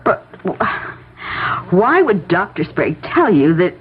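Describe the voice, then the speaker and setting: A woman's voice: lowish voice, big pitch swings, takes it slowly. A practical, puzzled wife in an old radio mystery. She questions things matter-of-factly, heard through a thin, faintly noisy vintage broadcast. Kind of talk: old-time radio drama acting. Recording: noisy, narrow-band vintage radio recording.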